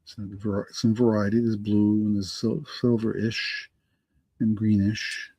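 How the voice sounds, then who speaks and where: A man hosting a live online auction in a rambling, halting way, full of restarts and fillers, hoarse from allergies. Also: fairly low pitch, flat delivery, a little mellow, highs cut off. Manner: halting, lots of ums and restarts.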